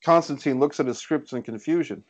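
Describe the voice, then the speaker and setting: A man's voice: on the high side, brisk, breathy edge, dull audio. A man reading stage directions as scripted narration for a screenplay over a video call. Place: indoors on a group video call.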